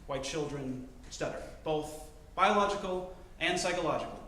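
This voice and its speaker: A man in his twenties telling a story on stage, choosing and enunciating each word carefully, with deliberate pauses. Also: on the high side, pushed and bright, a little hiss or hum. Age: 20s. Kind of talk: live storytelling performance. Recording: roomy live-venue sound.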